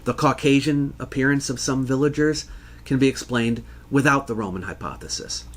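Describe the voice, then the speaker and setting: A man narrates educational history material as its host. His delivery is measured and formal, with precise enunciation. His pitch sits in the middle of the usual male range. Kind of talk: scripted educational narration answering listener questions.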